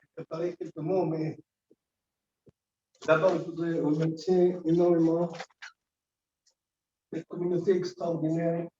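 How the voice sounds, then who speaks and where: A man in middle age delivers a eulogy, his high, soft-toned voice faltering with grief and long pauses. He is captured from well back in an echoing hall, and the recording sounds dull and muffled. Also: a little hiss or hum. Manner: halting, with repeated words and long pauses. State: grieving, emotionally moved. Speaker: son giving a eulogy. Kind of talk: funeral eulogy. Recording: echoey hall, distant dull mic.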